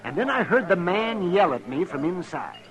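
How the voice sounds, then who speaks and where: An actor playing a fussy, excitable older American doctor in a 1950s radio western, with a folksy, hesitant way of talking. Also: male voice, high voice, moderate pace, poor audio. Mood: worried, urgent. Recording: old radio recording with crowd din.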